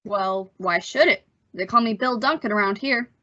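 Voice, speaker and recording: Bright, slangy teenage counterboy, played by a woman. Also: mid-range voice, moderate pace, natural voice. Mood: guarded. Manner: slangy, cagey, clipped answers. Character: guarded, wisecracking seventeen-year-old diner counterboy. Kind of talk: old-time radio suspense acting. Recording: dull, lo-fi audio.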